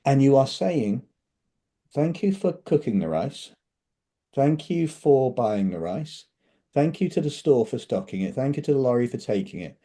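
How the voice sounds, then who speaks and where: British male co-host, enthusiastic storyteller, warm dark timbre. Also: mid-range voice, lively intonation, moderate pace. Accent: British English. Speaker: podcast co-host. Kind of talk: casual podcast chat.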